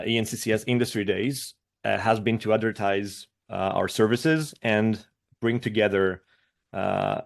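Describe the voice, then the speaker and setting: Greek-raised guy presenting on a video call, kinda gravelly, lots of uhs and restarts. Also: on the low side. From Greece. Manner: hesitant, many fillers and restarts. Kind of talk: webinar presentation.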